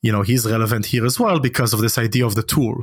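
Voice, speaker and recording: A man's voice: mid-range voice, fast, slightly rough, no room sound. Native Hebrew-speaking man, dry close sound. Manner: discursive, often checks with "right?". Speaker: podcast guest, essayist. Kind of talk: intellectual conversational discussion.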